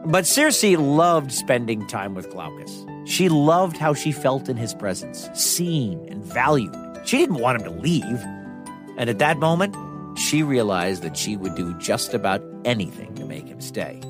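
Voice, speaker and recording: Animated man telling a story. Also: medium pitch, unhurried, music in the background. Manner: playful, conversational. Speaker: storyteller host. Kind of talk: lively children's myth narration.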